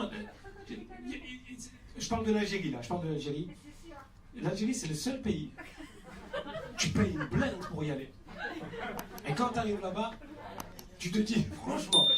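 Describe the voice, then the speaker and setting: A man doing a stand-up routine, chatty and lively, calling people "brother". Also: high-pitched. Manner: lively, chatty, addresses audience as "frère". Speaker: stand-up comedian. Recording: echoey hall from far off, loud crowd.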